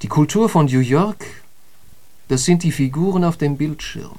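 A man's voice: fairly high pitch, moderate pace, dark tone, natural voice. Man acting eloquent, polemical intellectual, declamatory. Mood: fervent. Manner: rhetorical, declamatory, emphatic. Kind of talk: literary radio drama monologue.

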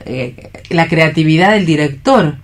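A woman with a lowish voice chats casually as a radio host. She is agreeable and readily chimes in with the other speakers. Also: expressive, unhurried. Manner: agreeable, chiming in. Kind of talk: casual chat.

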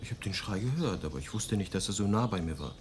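An actor in a German radio play playing a polite, reserved, quietly uneasy writer in a measured conversational way. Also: male voice, lowish voice, expressive, noisy background, close to an everyday voice. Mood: uneasy, guarded.

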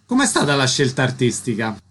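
A man's voice: medium pitch, huge pitch swings, a little fast, a bit bright, a little hiss or hum. A man speaking as a radio interviewer, putting questions in a broadcast interview.

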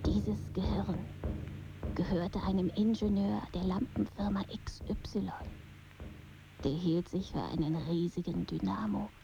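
A woman acting a hushed, eerie, breathy voice in a sombre radio drama. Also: medium pitch, steady tone, unhurried, loud music behind the voice, poor audio.

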